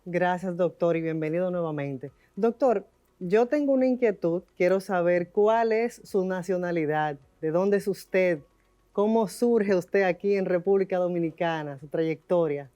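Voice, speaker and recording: A woman's voice: lowish voice, measured pace, warm tone. A woman hosting a health talk show, friendly and inquisitive with her guest. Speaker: host.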